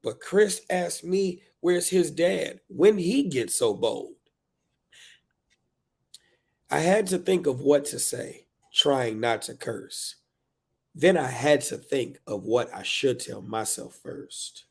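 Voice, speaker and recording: A man's voice: mid-range voice, expressive, takes it slowly, pitched above the performer's own voice. A man voicing an exasperated, overwhelmed single mother of three in a dramatic, emphatic spoken-word book reading. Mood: reflective, hurt.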